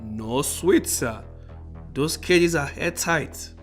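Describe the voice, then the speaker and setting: A man acts an eager, cocky young-spirited male lab assistant in a radio play. He talks slowly in a breezy way, with a voice a little on the high side. Faint music runs behind him. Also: lively intonation. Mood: casual, reluctant. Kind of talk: amateur radio play acting.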